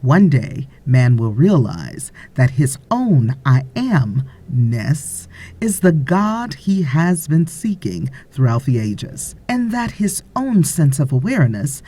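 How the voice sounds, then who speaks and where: Slow, husky-voiced woman reading aloud. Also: a bass voice, dark tone. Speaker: audiobook reader. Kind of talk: scripted audiobook narration of a spiritual text.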